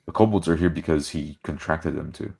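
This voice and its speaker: Male roleplay player, extremely deep voice, halting starts, narrates his own actions. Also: gravelly, darkish tone, husky. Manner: halting, repeats words.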